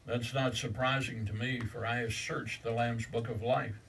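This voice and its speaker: An actor on a church stage playing a grave divine judge who speaks in formal pronouncements. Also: male voice, mid-range voice, steady tone, moderate pace, vocal fry, fairly bright tone, a little hiss or hum. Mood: solemn. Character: solemn divine male judge on a throne. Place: church stage set, indoors. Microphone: distant camera mic. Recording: distant stage voice.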